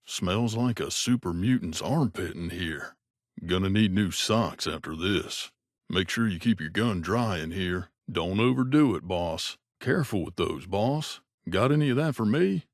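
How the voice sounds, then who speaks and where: An actor playing a wry, gruff mercenary companion in a video game, speaking in a conversational, grumbling way. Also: male voice, low voice, lively intonation, a little slow, dry recording, clean studio sound, full character voice. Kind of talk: game character voice lines.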